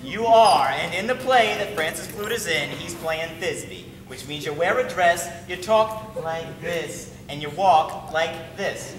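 A man's voice: fairly high pitch, unhurried, hardly any pauses, a bit bright, a little hiss or hum. A man voicing an eager, bossy actor who explains a play scene in theatrical bursts. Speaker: actor rehearsing the lead. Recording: echoey hall from far off.

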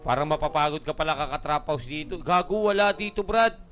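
A man's voice: a little high, expressive, brisk, fairly bright tone. A young Filipino man livestreaming a roleplay game, bantering and trash-talking in a brash, taunting, sweary way. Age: young adult. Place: small room at home, indoors. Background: music.

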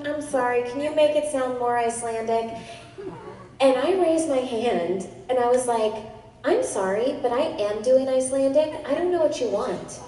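A woman in her thirties answers fan questions on a convention stage, telling stories quickly and with energy. Her voice reaches the mic from a distance and echoes through a big hall with a long reverb tail. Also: on the high side, a little mellow, slight background noise. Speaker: voice actor on convention panel. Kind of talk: panel Q&A answer. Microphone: handheld mic heard through hall speakers. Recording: echoey hall recorded from far off.

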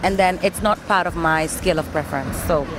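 A woman's voice: lowish voice, fairly quick, background noise. A woman giving blunt, dismissive answers to a street interviewer outdoors. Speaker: passer-by interviewee.

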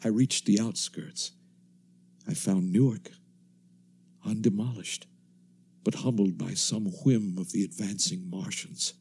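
An actor playing a weary, watchful wandering survivor in a radio drama, speaking in a measured, solemn, literary way. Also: male voice, on the low side, fairly even intonation, darkish tone, breathy edge. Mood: somber, haunted. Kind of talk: dramatic radio play narration.

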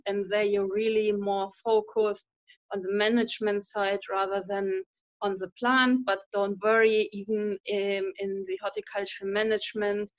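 A woman's voice: mid-range voice, dry recording. A woman in her thirties with a German accent, a university lecturer answering interview questions earnestly with many fillers and restarts over a video call. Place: indoor room at home. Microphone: laptop webcam mic on a video call. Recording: dull lo-fi call audio.

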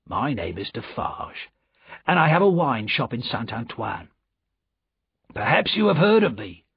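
A man voicing a quiet, wary revolutionary wine-shop keeper with a confiding, probing manner in a storybook reading. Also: mid-range voice, very animated, a little slow, vocal fry, slightly rough, no room sound, lo-fi sound, full character voice.

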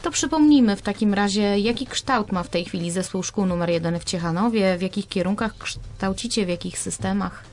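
A woman's voice: mid-range voice, fairly quick, few pauses, darkish tone. A woman hosting a radio interview and putting questions to a guest in a studio. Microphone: studio microphone.

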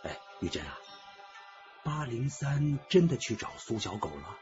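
A man's voice: mid-range voice, sing-song, a little slow, darkish tone, dull audio, faint music behind. An actor playing an evasive, defensive man with something to hide in a radio drama, probing as he talks. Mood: anxious probing. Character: evasive, defensive man hiding a gambling alibi.

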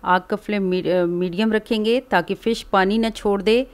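A woman from Pakistan hosting a cooking channel, calmly explaining each step of a recipe in a voice-over. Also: medium pitch, normal speed, clean tone. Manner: calm, instructive, explains each step plainly.

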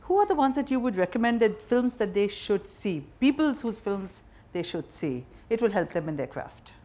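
A woman's voice: medium pitch, sing-song, moderate pace, dark tone. A middle-aged woman with an Indian accent, hosting a conversational interview with direct, probing questions. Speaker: interview host. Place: seated indoors in a wood-panelled bar set.

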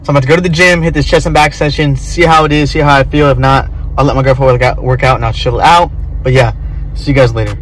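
A man's voice: mid-range voice, fairly even intonation, quick pace, poor audio. A young man in his twenties talking casually to a phone held at arm's length in his car, laid-back and full of slang, calling the viewer bro and swearing offhandedly. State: tired, feeling off. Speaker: vlogger. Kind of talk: casual vlog talk to camera. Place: inside a parked car.

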